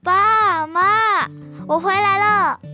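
A woman's voice: very high voice, drawn-out words, sharp tone, soft background music, full character voice. A young female student playing an earnest, reassuring schoolgirl daughter in a radio drama. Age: child or teenage student.

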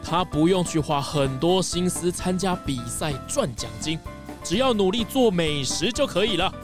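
A man's voice: high-pitched, lively intonation, normal speed, music in the background. A man playing a boastful, genial, very rich count in a children's mystery audio drama. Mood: self-satisfied.

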